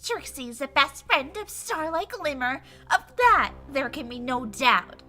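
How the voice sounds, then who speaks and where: A woman's voice: high voice, lively intonation, a little slow, bright, forceful tone, faint music behind, exaggerated voice. A woman voicing a boastful, whiny female pony magician in a cartoon-style fan audio drama, theatrical, brash and petulant. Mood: smug, combative.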